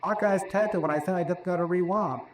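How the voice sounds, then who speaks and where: An actor playing an eager, fumbling lab assistant in a 1940s radio drama, talking in a casual, folksy way. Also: male voice, sits high, fast talker, close to an everyday voice. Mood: flustered, casual. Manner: casual, excitable, colloquial.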